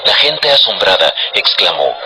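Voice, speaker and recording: A man with a deep, low voice reads scripted narration for a dramatized audio Bible. Music plays clearly underneath his storytelling. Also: very animated, measured pace, low-quality recording.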